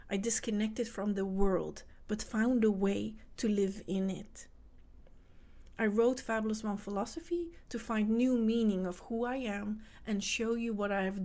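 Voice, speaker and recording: A woman's voice: mid-range voice, warm tone. A woman from the Netherlands with a Dutch accent and Dutch as her first language, speaking English in an earnest motivational podcast monologue that addresses the listener directly as a self-help coach for mothers.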